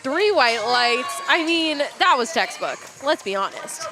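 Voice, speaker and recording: Bubbly Cleveland woman, lively voice. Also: sits high, unhurried, music in the background. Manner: bubbly, laughing often. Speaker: livestream commentator. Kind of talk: casual color commentary. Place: powerlifting competition venue.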